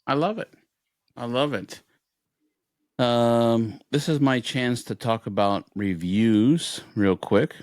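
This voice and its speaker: A man's voice: medium pitch, slow pace, a little mellow, dry recording, clean studio sound. A man hosting a podcast, chatting casually and making announcements with playful mock formality. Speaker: podcast host.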